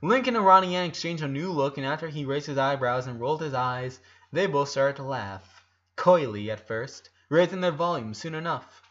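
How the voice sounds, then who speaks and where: Bright-voiced man narrating a story. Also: on the high side, moderate pace, dull audio. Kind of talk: dramatic fan fiction story reading.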